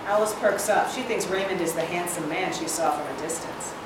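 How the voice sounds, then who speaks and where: A woman's voice: lowish voice, a little fast. A woman reading stage directions aloud as scripted narration at a screenplay reading. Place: indoor reading hall, recorded from far off. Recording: echoey room, distant mic, clear noise.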